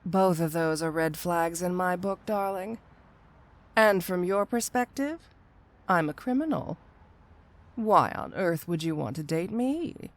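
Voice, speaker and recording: A woman's voice: fairly low pitch, lively intonation, measured pace. A woman playing a flirty, sly supervillain cat burglar in an intimate ASMR roleplay, with a coy, drawling, playfully condescending delivery. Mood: coy, skeptical.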